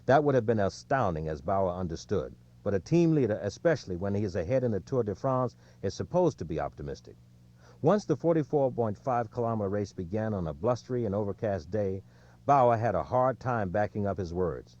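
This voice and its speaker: A man reading an audiobook in a measured, clearly scripted storytelling delivery, recorded with slightly lo-fi sound. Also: on the low side, very animated, darkish tone. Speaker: audiobook narrator.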